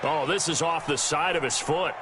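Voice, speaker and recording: A high-pitched male announcer played by a voice actor calls football play by play for a video game. His delivery is brisk and excitable, built on short descriptive calls. Loud stadium noise runs behind him. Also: over a noisy crowd, close to an everyday voice. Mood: matter-of-fact. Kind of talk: sports play-by-play commentary.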